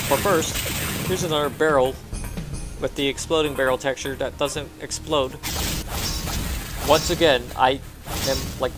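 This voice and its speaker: A man giving a casual game walkthrough, narrating his own actions offhandedly in short phrases. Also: medium pitch. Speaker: gameplay commentator. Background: game music.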